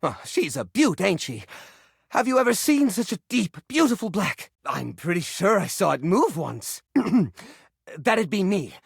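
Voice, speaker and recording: An actor voicing a fussy, anxious junk drawer come to life in a video game, theatrical and flustered, with old-fashioned folksy exclamations. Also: male voice, high-pitched, very animated, measured pace, vocal fry, breathy edge, no echo, clean studio sound, exaggerated voice. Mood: cheerful, proud. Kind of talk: video game character voice acting.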